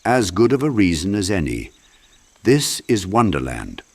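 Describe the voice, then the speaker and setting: Man voicing a silky, low purring cat. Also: big pitch swings, normal speed, no echo, heavily acted. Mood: sly welcome. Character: silky purring unseen grinning cat, omniscient and cryptic.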